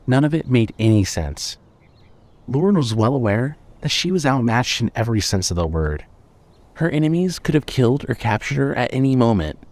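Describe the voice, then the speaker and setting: A man narrating scripted fiction as a storyteller, in a measured way. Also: medium pitch, darkish tone.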